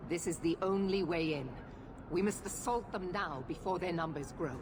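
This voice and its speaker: Actress as a stern female military leader; low, clipped, impatient, over game noise. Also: moderate pace, natural voice. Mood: commanding. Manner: curt, commanding, impatient. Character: stern authoritarian female knight commander.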